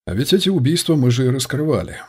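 A man's voice: medium pitch, very animated, fast, slightly rough. A man reading the part of an older retired police colonel, musing and nostalgic, in an audiobook. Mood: musing, uneasy.

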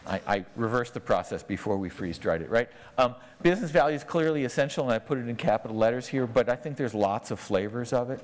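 A man's voice: mid-range voice, lively intonation, quick pace, few pauses. Man giving a talk in a big hall. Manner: conversational, often tags lines with "right?". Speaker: conference speaker. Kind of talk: conference talk with audience Q&A. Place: large conference hall on stage. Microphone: lapel mic. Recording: long reverb of a large hall.

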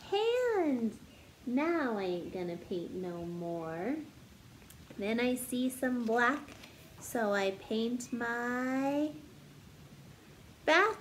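A woman in her thirties hosts a story time for young children. Her voice sits a little high and she takes her time, shaping phrases in an expressive, sing-song teacher's way. It is a home recording with some noise around the voice. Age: 30s. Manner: slow, deliberate, animated teacher delivery addressing children. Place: living room at home, indoors.

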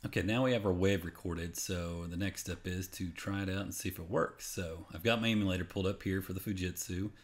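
A man's voice: low voice, fairly even intonation, fairly quick, few pauses. A man presenting a casual, unscripted how-to as a voice-over, explaining step by step in an informal way. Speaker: tutorial presenter. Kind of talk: casual screen-recorded how-to narration. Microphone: voice-over on a screen capture.

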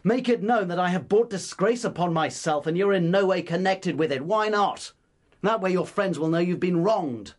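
An actor playing a proud, impoverished writer in a period radio drama, English accent, formal and stiff with wounded dignity. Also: male voice, sits high, moderate pace, no echo, clean studio sound. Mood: bitter, agitated.

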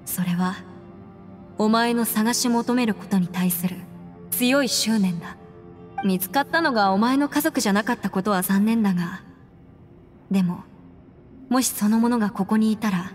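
A woman's voice: medium pitch, normal speed, long pauses, natural voice. An actress playing a confident, clever young woman in a video game, speaking in an assured, plain, slightly tomboyish manner. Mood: earnest. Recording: long hall reverb, music behind. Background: music.

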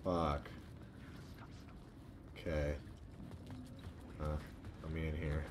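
A man's voice: very deep voice, little pitch movement, extremely slow, noisy background. A man doing casual, sweary let's-play commentary, muttering to himself as he plays. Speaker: streamer playing a horror game. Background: horror game ambience.

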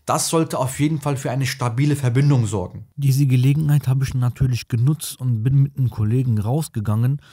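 A man's voice: mid-range voice, fairly quick, hardly any pauses, warm tone, breathy edge. A man in his thirties talks through a tech product to camera. He explains it casually and colloquially, like an online reviewer. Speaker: tech reviewer, video host.

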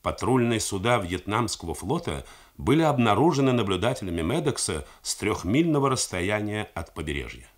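A man, an actor, reading a novel aloud in measured, dramatic radio narration. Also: lowish voice, big pitch swings.

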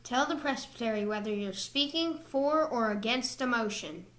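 A woman reading procedural rules from slides in a measured, scripted narration. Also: on the high side, fairly bright tone.

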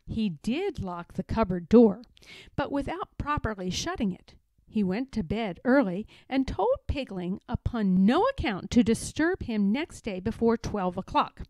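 A woman narrates a children's storybook. Her voice is high and animated, with deliberate, expressive phrasing. The sound is dry, with little room on it. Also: dry recording. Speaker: storybook reader. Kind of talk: children's read-aloud narration.

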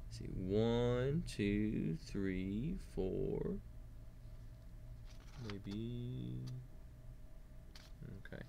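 A man teaching kindergarteners with an animated read-aloud, asking simple questions as he goes. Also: fairly low pitch, drawn-out words, vocal fry, long pauses, slight background noise. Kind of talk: read-aloud lesson for young children.

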